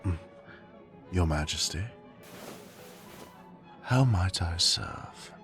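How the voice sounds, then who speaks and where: A man's voice: low voice, expressive, very slow, over music, heavily acted. A man playing an elegant, dryly witty fae butler in an audio drama, speaking with formal, courtly politeness. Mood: deferential, wry. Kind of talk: scripted audio roleplay acting.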